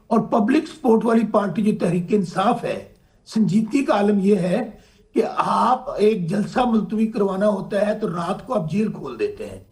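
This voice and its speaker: A hoarse man from Pakistan, a political guest arguing insistently in a heated talk-show debate and pressing to be heard. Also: high-pitched, a little mellow. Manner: insistent, keeps asking to be heard.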